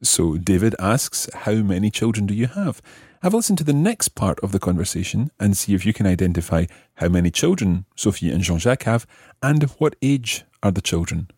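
A man presents a language lesson in a low, husky voice. He explains phrase by phrase and repeats things deliberately. The sound is dry and close. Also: sing-song, a little mellow, no room sound. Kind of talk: explaining French grammar phrase by phrase.

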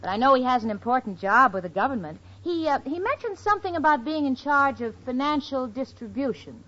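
An actress playing a sensible, wry young single woman, confiding and animated, in a 1940s radio sitcom. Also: high-pitched, fairly quick. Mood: wry, smitten. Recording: dull old radio recording, studio audience.

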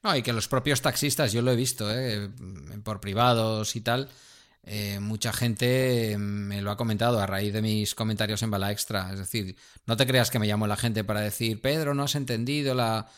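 A man from co-hosting a podcast in casual chat, talkative, playful and measured. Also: fairly low pitch, fairly even intonation. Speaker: podcast co-host.

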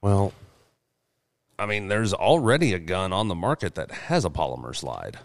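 A man's voice: low-pitched, very animated, normal speed, creaky, no echo. A man in casual conversation, speaking bluntly and swearing freely. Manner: profane, blunt. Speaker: podcast co-host. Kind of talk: casual chat.

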